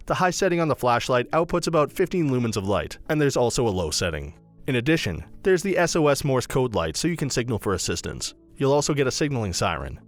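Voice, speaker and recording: A man reading a scripted product review as a voice-over narrator, in a brisk, even read-aloud delivery. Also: medium pitch.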